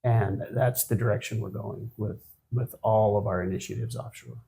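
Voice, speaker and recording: A man in his fifties answers questions on a podcast, his low voice unhurried and thoughtful. He pauses, restarts, and fills gaps with ums. Faint noise sits under the recording. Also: steady tone, creaky, mellow. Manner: measured, reflective, with frequent ums and restarts. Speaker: guest, managing partner of an accounting firm. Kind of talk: conversational podcast interview.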